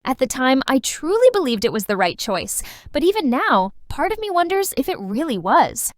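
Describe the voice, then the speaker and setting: A woman's voice: high-pitched, very animated, normal speed, no echo, studio quality. A woman playing a cheerful late-night radio DJ who reads listeners' letters expressively in a manga dub. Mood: wistful reading. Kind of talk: radio presenting, anime-style dub.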